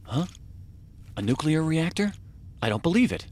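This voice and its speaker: An easygoing male squad cop in an anime game. His pitch moves a lot as he muses casually and warily. Faint noise is behind him. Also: sing-song, normal speed, darkish tone. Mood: surprised. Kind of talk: English anime game dub acting.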